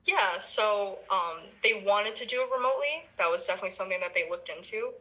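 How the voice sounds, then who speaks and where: Bright, husky-voiced young woman talking. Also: medium pitch, expressive, fast talker, low-quality recording. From Philippines. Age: young adult. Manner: chatty, many ums and restarts. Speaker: interviewee, university student.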